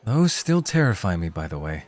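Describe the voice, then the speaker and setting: An actor playing a nervous, earnest rookie club host who talks casually and gets flustered easily in a scripted audio roleplay. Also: male voice, sits low, sing-song, moderate pace. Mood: curious, teasing. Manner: casual, stammering, self-conscious.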